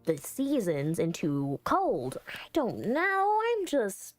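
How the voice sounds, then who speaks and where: A woman streaming a visual novel, reading dialogue aloud and adding rambling commentary in a halting, musing way. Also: medium pitch, huge pitch swings, soft background music.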